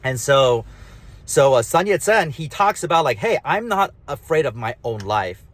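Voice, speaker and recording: A man with a nasal, slightly mumbly voice talks casually on his own, full of fillers and emphatic asides. His pitch sits in the middle for a man. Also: big pitch swings, moderate pace, fairly bright tone. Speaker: host. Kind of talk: rambling solo talk.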